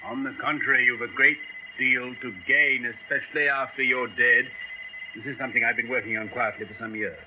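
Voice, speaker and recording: An actor playing a zealous, persuasive British neurosurgeon with an insistent, clinical manner in a 1970s radio drama. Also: male voice, mid-range voice, steady tone, brisk, lightly acted. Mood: intent, persuasive. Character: zealous, persuasive neurosurgeon, coolly enthusiastic about gruesome experiment. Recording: muffled, noisy old radio broadcast.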